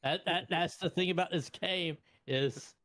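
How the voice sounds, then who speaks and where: A man improvising casually as a tabletop game player, halting and repeating words as he feels out his point. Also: fairly high pitch, fairly bright tone, no room sound, clean studio sound.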